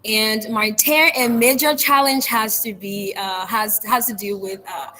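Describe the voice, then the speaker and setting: A woman's voice: a little high, steady tone, sharp tone, faint music behind. Earnest young Liberian woman speaker. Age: young adult. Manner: earnest, measured, structured points. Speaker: guest, public speaker. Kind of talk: interview answers.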